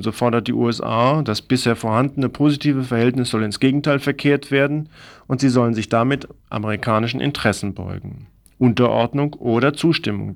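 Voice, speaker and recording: A man's voice: mid-range voice, vocal fry. A man reading scripted political news commentary on the radio in an opinionated, pointed way.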